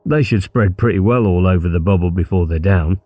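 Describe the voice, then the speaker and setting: A man's voice: deep voice, a little fast, no echo, muffled recording. A man reading an audiobook as a mischievous schoolboy, eager and conspiratorial, talking casually about schemes. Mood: keen, scheming.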